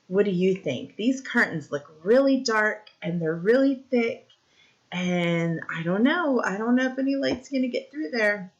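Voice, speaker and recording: A woman reading a picture book aloud to young children like a teacher, explaining simply and pausing to ask questions. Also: medium pitch. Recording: dull, lo-fi recording.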